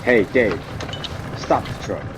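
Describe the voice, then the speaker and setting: Man playing gruff, bitter survivor over vehicle noise. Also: on the low side, animated, slow pace. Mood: watchful. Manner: gruff, cursing, distrustful. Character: bitter, angry male survivor scouting for food. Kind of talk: post-apocalyptic audio drama acting. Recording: clearly noisy, vehicle and outdoor ambience.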